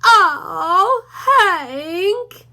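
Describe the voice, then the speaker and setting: A woman's voice: extremely high pitch, lively intonation, very slow, sharp tone, pitched above the performer's own voice, full character voice. A woman voicing a timid, whiny little male dog who wails and whimpers in panic. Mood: panicky whining.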